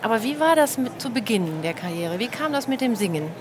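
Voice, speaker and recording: A woman's voice: a little high, sing-song, brisk, noisy background. A woman interviewer asking a guest conversational questions at a fair. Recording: busy crowd behind.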